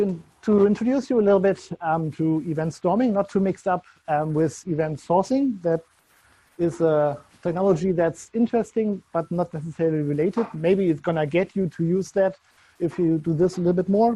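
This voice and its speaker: German man with an accent, speaking English, meetup tech speaker, slightly high, warm dry voice, casual and halting. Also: no room sound, rough recording. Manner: loose, searching delivery with frequent ums and restarts. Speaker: conference speaker.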